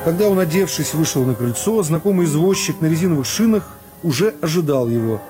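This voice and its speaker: A man reading literary narration for a radio production in a measured, expressive way. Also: fairly high pitch, music in the background.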